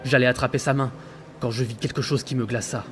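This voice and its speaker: A man playing a frightened sailor who narrates his journal gravely, in dramatic audio fiction. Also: mid-range voice, fairly even intonation, fast, slight background noise, lightly acted. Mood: tense. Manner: grave, haunted storytelling. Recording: loud music under the voice.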